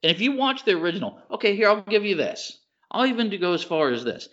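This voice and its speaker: A man's voice: high voice, very animated, fast talker, fairly bright tone, lo-fi sound. An American man co-hosting a podcast, chatting casually in a blunt, emphatic, slangy and opinionated way. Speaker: podcast co-host. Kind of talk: casual chat about movies and TV.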